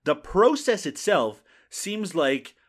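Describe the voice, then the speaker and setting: A man's voice: high-pitched, sing-song, slow. A man co-hosting a casual podcast, reviewing a horror film with wry jokes, swearing and frequent laughs. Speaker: podcast co-host. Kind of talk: casual movie review chat.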